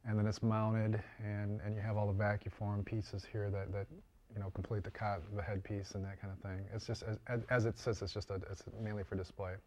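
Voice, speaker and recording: An older man explaining his craft in a casual interview, haltingly and often repeating words. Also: fairly low pitch, level tone, darkish tone, slight background noise. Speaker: interviewed prop and mask maker.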